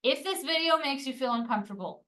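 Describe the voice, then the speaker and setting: Reassuring woman trainer, slightly high voice. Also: lively intonation, fast, a bit bright. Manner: encouraging, reassuring. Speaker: tutor trainer. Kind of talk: leading a training session. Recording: distant room mic.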